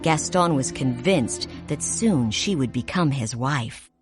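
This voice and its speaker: A woman narrating a children's read-along storybook in a clear, expressive way. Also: a bass voice, takes it slowly. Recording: music playing behind.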